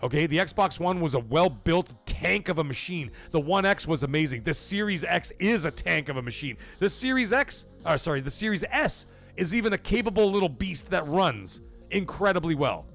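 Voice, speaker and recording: A man hosts a casual gaming livestream, reading viewer super chats and firing off blunt, profane opinions. His voice sits a touch high for a man, and he jumps loud for shout-outs before settling back. Faint music plays underneath. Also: moderate pace, creaky. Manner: excitable, swings loud for donation shout-outs. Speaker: livestream host.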